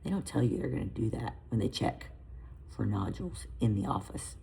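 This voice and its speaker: A woman in her fifties with a raspy voice, a fitness coach chatting casually to camera in a home vlog, self-deprecating and loose. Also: very deep voice, animated, fairly quick, dark tone, a little hiss or hum. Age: 50s. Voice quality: raspy, gravelly. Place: home garage on a treadmill.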